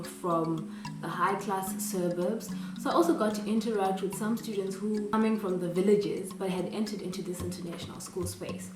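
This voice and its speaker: A woman from Zimbabwe talks straight to first-year students, earnest and encouraging, asking rhetorical questions as she goes. She sounds a little back from the mic, in a roomy space. Clear music plays behind her. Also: medium pitch, distant mic. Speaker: vlogger giving student advice. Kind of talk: advice vlog, direct address.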